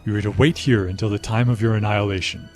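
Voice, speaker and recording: A man plays a gruff, impatient guard from an audio drama. He is curt and threatening, speaking quickly in a lowish voice over faint music. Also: steady tone, darkish tone, close to an everyday voice. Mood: curt, menacing. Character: gruff, impatient male guard serving a religious regime.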